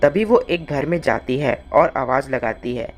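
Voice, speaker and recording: A woman narrator tells a scripted tale in storytelling style. Her voice is low-pitched for a woman. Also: a little slow, soft background music.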